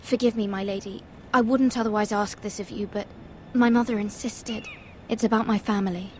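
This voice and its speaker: A woman's voice: medium pitch, steady tone, normal speed, a little mellow, slight background noise, natural voice. An actress playing an earnest, anxious young lady-in-waiting in a video game, pleading in formal, deferential speech. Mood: hesitant. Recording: faint music behind the voice.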